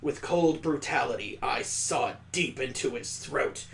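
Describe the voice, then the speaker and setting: A man's voice: mid-range voice, animated, a little slow, creaky, slight background noise. A man on a stream reading a visual novel aloud, breaking off for crude, joking asides and mock sound effects.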